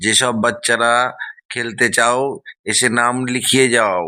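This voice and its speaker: A man's voice: medium pitch, flat delivery, measured pace, pushed and bright. A man playing a kindly father figure in a children's cartoon, instructing in a fatherly way.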